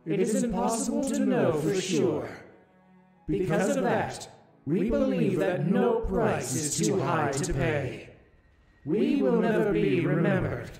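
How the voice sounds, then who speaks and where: An ancient, otherworldly ruler from a visual novel, speaks slowly and solemnly with sweeping, theatrical intonation. The grave voice rings with echo over loud music. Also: male voice, high voice, very animated, heavily acted. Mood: resolute, fatalistic. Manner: grave, deliberate, theatrical pronouncements. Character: solemn, ancient otherworldly ruler, calmly justifying terrible deeds. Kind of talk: dramatic visual novel voice acting. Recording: echoing voice under loud music.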